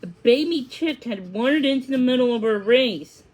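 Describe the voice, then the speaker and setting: A woman's voice: sits high, expressive, normal speed, a little mellow, low-quality recording. A woman narrating a scripted children's story as a read-along.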